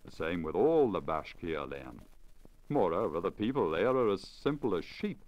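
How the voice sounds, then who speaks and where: A man's voice: deep voice, big pitch swings, normal speed, a little mellow. A man voicing a boastful, persuasive travelling merchant in a storybook, speaking formally and emphatically on a crackly old disc recording. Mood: emphatic, persuasive. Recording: crackly, dull 1950 lacquer disc recording.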